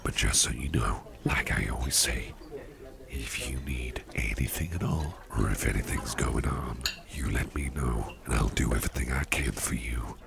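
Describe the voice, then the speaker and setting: A man playing a kindly, protective businessman boss in an ASMR roleplay, speaking in a gentle, reassuring, gravelly murmur. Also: normal speed, a crowd in the background, heavily acted. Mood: warm, gentle. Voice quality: very deep, gravelly, breathy murmur. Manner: gentle, reassuring, softly spoken. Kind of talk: ASMR personal attention roleplay. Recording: clear background noise and ambience.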